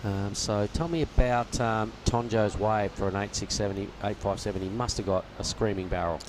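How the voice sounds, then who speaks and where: A man's voice: lowish voice, normal speed, noisy background. A man leading live surf commentary, upbeat and chatty, calling the heat and steering the conversation. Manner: upbeat, leads the talk, says mate. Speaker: lead commentator.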